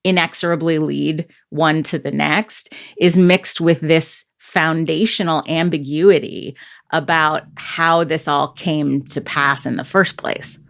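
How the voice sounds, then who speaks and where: A woman hosting a film discussion, speaking in a measured, analytical way. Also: low-pitched, steady tone, vocal fry, fairly bright tone. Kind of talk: casual discussion.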